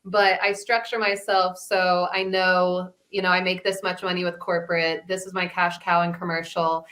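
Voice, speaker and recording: A woman's voice: on the low side, level tone, normal speed, fairly bright tone. A woman voice actor giving casual, practical advice on a panel in a matter-of-fact way.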